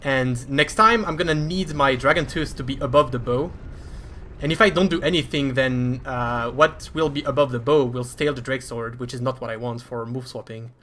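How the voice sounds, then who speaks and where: A man's voice: mid-range voice, moderate pace, pushed and bright, a little hiss or hum. A man with a French accent commentating live over his own speedrun in a chatty, self-deprecating way.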